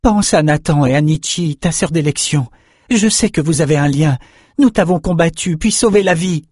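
A man's voice: high-pitched, little pitch movement, a little fast, a little mellow, slightly rough, dry recording, studio quality, voice pushed up. A man voicing a brave, earnest young swordsman with an urgent, impassioned manner, in a fantasy storybook. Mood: urgent, pleading.

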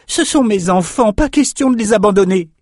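A man's voice: high-pitched, animated, normal speed, a little mellow, dry recording, clean studio sound, pitched above the performer's own voice. A man voicing a stubborn, motherly old goat-herding woman in a fantasy audiobook. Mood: defiant, pleading.

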